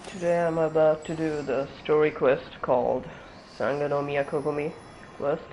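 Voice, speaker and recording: A man's voice: on the high side, little pitch movement, moderate pace, a little mellow, slight background noise, low-quality recording. A man streaming a game, giving casual, offhand commentary in muttered asides.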